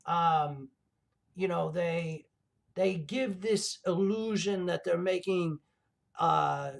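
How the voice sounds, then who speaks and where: Man doing a high, creaky mad villain host. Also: exaggerated voice. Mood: gloating, menacing. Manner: halting, gloating, melodramatic. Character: theatrical male mad villain host plotting laser revenge. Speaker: livestream show host. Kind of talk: rambling in-character horror-comedy livestream.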